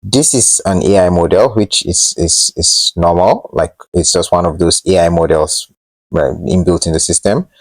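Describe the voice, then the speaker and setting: A man hosting a casual software tutorial, explaining in a loose, unscripted and slightly hesitant way. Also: low voice, moderate pace, dry recording, low-quality recording. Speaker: host of a tech tutorial channel. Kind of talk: casual screen-share tutorial narration.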